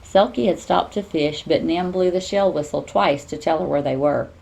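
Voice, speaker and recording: A woman reading a children's storybook aloud in an expressive, teacherly way. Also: sits low, moderate pace, gravelly, low-quality recording.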